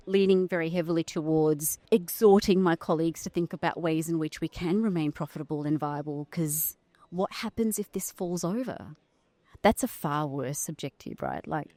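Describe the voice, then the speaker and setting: A middle-aged woman from Sri Lanka with a notably low voice talks as a podcast guest. She is thoughtful and articulate and often tags her points with "right?". The sound is close and dry. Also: mellow, dry recording. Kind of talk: reflective podcast conversation. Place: conference venue.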